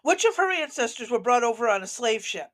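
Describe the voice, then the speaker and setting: A woman with a fairly high-pitched voice talks casually as a political show host. Her delivery is blunt and combative, and she swears freely. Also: big pitch swings, fairly quick, fairly bright tone.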